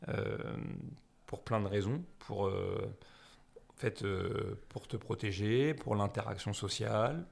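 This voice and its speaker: Low, gravelly-voiced Frenchman rambling casually. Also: darkish tone. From France. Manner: rambling, self-deprecating, many fillers. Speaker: guest. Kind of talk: casual conversational interview.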